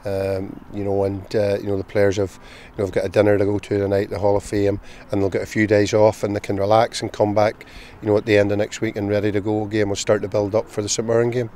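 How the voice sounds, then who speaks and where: A middle-aged man with a Northern Irish accent, a football manager giving a measured post-match interview outdoors at a stadium, with many "you know" fillers. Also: fairly low pitch, completely flat delivery, mellow, a little hiss or hum. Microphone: handheld interview mic, close camera.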